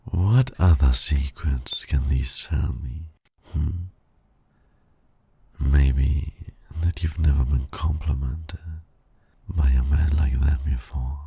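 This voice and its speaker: A man playing a suave, teasing supervillain in a flirtatious ASMR romance roleplay, gravelly and breathy, purring and often dropping to a whisper. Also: extremely low pitch, steady tone, slow, warm tone, no echo, exaggerated voice. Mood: teasing, intimate. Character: suave, teasing male supervillain courting his hero nemesis.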